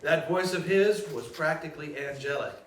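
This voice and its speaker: A man plays a folksy, sly lawyer in a live table read, his voice a little high. The recording is echoey, distant and a bit noisy, as from a stage. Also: moderate pace, natural voice. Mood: conspiratorial. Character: folksy, sly male lawyer friend pitching a cursed pick.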